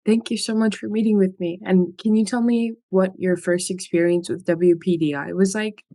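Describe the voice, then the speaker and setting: A woman's voice: medium pitch, steady tone, normal speed, warm tone. A woman interviewing a guest on a video call, asking polite questions. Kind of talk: virtual coffee chat interview.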